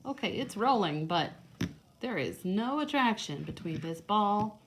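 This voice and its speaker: A woman with a mid-pitched voice leads a read-aloud lesson for small children. She explains things step by step in simple words, moving between reading and casual narration. There is some noticeable noise behind her. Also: big pitch swings, unhurried. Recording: noticeable background noise, homemade video.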